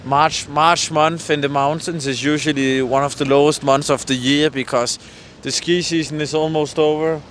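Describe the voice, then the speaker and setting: A man's voice: on the high side, little pitch movement, moderate pace, few pauses, a bit bright. A man chatting casually as a vlogger, rambling a bit hesitantly and putting questions to passers-by outdoors. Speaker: vlogger, street interviewer. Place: outdoors by a road in a mountain valley. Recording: windy, noisy outdoor roadside.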